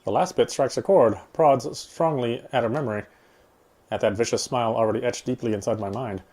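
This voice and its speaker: Fast-talking man playing a visual novel. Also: medium pitch, darkish tone, breathy edge. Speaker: video game player and commentator. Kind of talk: reading game text aloud with casual commentary.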